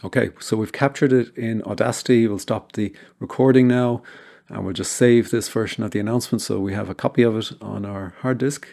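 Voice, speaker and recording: Man, low pitch, creaky fry, informal tutorial host, step-by-step software narration. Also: steady tone, a little fast.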